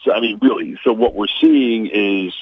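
A man's voice: fairly low pitch, moderate pace, husky, dry recording. A man calling in as a guest to a radio sports talk show, breaking down a basketball player bluntly with lots of "uh" and "you know". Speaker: basketball play-by-play announcer guest. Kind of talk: radio sports interview, analytical. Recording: tinny phone line.

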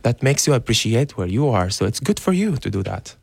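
A young man from Syria, a radio guest speaking earnestly and haltingly with many fillers. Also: medium pitch, very animated, vocal fry, husky, no echo. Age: young adult. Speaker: guest, dance teacher. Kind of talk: radio interview. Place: radio studio.

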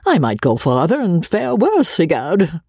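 Guy doing a pompous bashful old-empire gentleman from a storybook, giggly and blustery, voice jumping way up, bit husky. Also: fairly high pitch, wildly swooping pitch, measured pace, no echo, studio quality, voice pushed up, full character voice. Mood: smug. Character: pompous, bashful, chortling male colonial official.

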